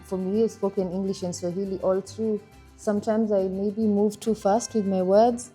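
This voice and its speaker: A young woman from Kenya, chatting casually about her marriage, animated and laughing now and then. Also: mid-range voice, normal speed, soft background music. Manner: animated, laughs while telling stories. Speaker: interviewee, wife in a couple. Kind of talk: casual conversational storytelling.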